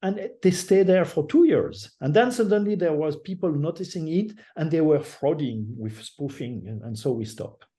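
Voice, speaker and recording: An older man with a French accent, a native French speaker, talking as an interview guest in a halting way, often restarting his phrases. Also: a little high, lively intonation, warm tone. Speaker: guest artist. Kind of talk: casual interview over a call.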